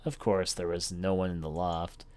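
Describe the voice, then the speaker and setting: A man's voice: deep voice, little pitch movement, moderate pace, a little mellow. A man reading a horror visual novel aloud as a let's player, in dramatic first-person story narration. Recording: music behind the voice. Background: game music.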